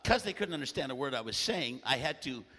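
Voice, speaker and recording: A man preaching a sermon with a loud voice and animated storytelling, often clearing his throat. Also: mid-range voice, fast talker, long reverb tail.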